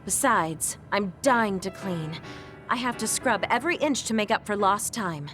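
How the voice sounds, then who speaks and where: A woman voicing a gentle, caring young woman in a video game, speaking politely and softly. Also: medium pitch, expressive, normal speed, lightly acted. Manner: polite and soft-spoken. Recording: music under the dialogue.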